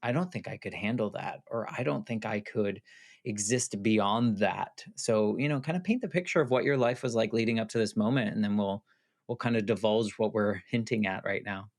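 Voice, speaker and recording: Man, podcast host, lowish voice, appreciative, conversational. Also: moderate pace. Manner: warm, appreciative, loosely phrased questions. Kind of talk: conversational interview hosting.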